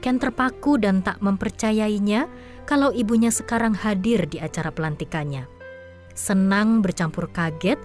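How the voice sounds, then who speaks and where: A woman giving scripted, expressive storytelling narration for an audio drama. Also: mid-range voice.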